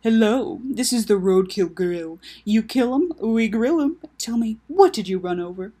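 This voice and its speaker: A woman's voice: fairly high pitch, lively intonation, measured pace, dark tone, husky, voice pushed up. A woman voicing a brash, sarcastic, foul-mouthed young father who is hurt and guarded, in a story reading. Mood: playful. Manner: sarcastic, emphatic, swearing.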